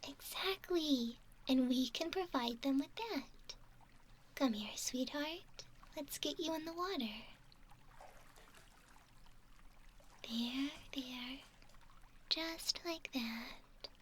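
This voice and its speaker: A woman voicing a gentle, curious young mermaid in a soft, often whispery ASMR roleplay, soothing and polite. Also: sits high, slow, slight background noise. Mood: soothing, caring.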